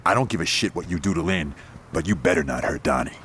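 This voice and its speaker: A hot-tempered street gang leader, blunt and swearing freely. His voice sits a little low but swings widely in pitch. Faint background hiss under him. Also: expressive, fast, fairly bright tone. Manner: blunt, profane, short-fused. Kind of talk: video game cutscene acting.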